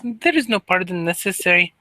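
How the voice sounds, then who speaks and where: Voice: mid-range voice, expressive, brisk, bright, forceful tone, no echo. A player voicing a young female creature with a tail who speaks stiffly and formally, without contractions. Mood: curious.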